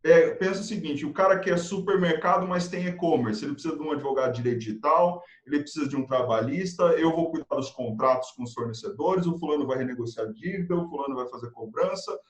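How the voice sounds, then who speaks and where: A Brazilian man speaking as a guest consultant in a live video chat, energetic and motivational, rattling off quick lists of advice. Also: on the high side. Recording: roomy, echoey room sound.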